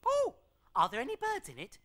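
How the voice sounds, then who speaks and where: A woman's voice: very high-pitched, huge pitch swings, brisk, a bit bright, full character voice. A woman voicing an eager, chatty little animal friend who fusses and interrupts, in a children's television show. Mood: chatty, apologetic. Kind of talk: children's television character acting.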